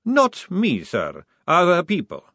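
A man's voice: medium pitch, animated, unhurried, a bit bright, dry recording, clean studio sound. Man doing a patient, polite vampire recruit.

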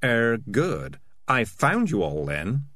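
A man's voice: mid-range voice, huge pitch swings, slow, a bit bright, no room sound, voice pushed up, heavily acted. A man voicing a shifty, self-serving male cat in a storybook, hesitant and evasive with stammered excuses. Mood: awkward.

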